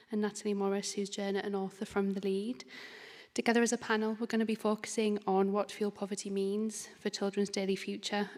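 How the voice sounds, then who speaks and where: A woman chairing a conference session who introduces the speakers steadily and says um often. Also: mid-range voice. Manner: steady, with frequent ums. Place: conference hall. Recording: reverberant conference hall.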